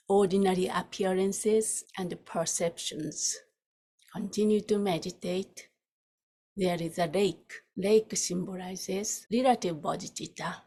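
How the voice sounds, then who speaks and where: Female meditation teacher, lowish breathy voice, very slow and soft with long pauses. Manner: soft, unhurried, with long pauses between short phrases. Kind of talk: guided meditation.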